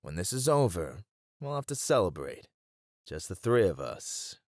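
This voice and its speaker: A man voicing a confident, flirtatious mob leader with a lowish voice that swings expressively. He is shrewd and playfully theatrical, teasing his listener in an intimate, dry, close-sounding audio roleplay. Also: sing-song, moderate pace, dry recording. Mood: seductive, toasting. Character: cocky, flirtatious, shrewd male gang leader. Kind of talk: flirty ASMR roleplay acting.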